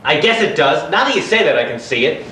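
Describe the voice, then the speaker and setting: A man's voice: a little high, very animated, fast, sharp tone. An actor playing a bewildered, needy man in a stage play, plaintive and defensive. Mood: puzzled. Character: bewildered, needy man being needled on a park bench. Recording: roomy, distant, noisy live stage recording.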